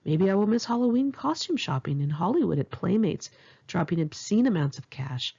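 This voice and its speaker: A Californian American woman reading her own memoir aloud in a candid, expressive, conversational way, with a husky, creaky voice. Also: medium pitch, fairly quick, dull audio. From Los Angeles area, California, United States. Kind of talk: narrated memoir audiobook, one-take home reading.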